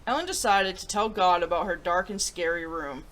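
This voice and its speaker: A woman's voice: fairly low pitch, normal speed, a bit bright. A woman hosting a children's story-time show, reading picture books and reviewing them in an enthusiastic, theatrical presenter's manner. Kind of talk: picture book read-aloud and upbeat review.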